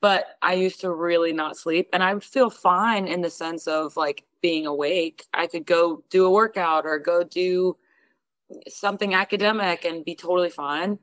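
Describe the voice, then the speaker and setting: Young woman, low-ish voice, lively storyteller, dry close recording. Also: normal speed, dry recording, poor audio. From Houston, Texas. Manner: lively storyteller who acts out what others said. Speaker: guest singer. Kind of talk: casual interview storytelling.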